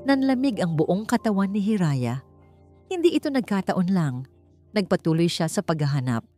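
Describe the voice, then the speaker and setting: Low-voiced woman narrating a dramatic love story. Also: very animated, moderate pace, warm tone, no echo, soft background music. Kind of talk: dramatic scripted romance narration.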